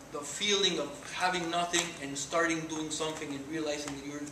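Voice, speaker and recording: A man in his forties from Mexico with a Mexican accent, giving an earnest talk to students in a lecture room. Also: on the high side. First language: Spanish. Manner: earnest, gesturing while speaking. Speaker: guest speaker. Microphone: far camera. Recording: echoey room from far off, noisy.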